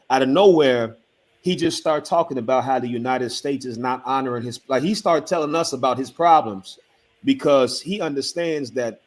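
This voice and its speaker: A man speaks at a middle pitch on an online panel discussion. He tells a story and acts out the dialogue, then builds to forceful points. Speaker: panel member.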